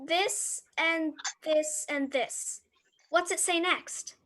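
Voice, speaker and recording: Very high, bright excitable little girl played by a woman. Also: very slow, heavily acted. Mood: impatient. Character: excited, bossy, loud little girl at play. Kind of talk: radio play acting.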